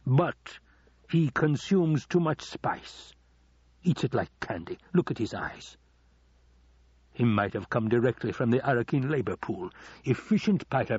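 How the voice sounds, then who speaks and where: Audiobook villain, played by a man: scheming older nobleman, husky, slow, silkily taunting, dry recording. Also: medium pitch, a little mellow, no echo, muffled recording. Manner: slow, drawn-out, taunting delivery.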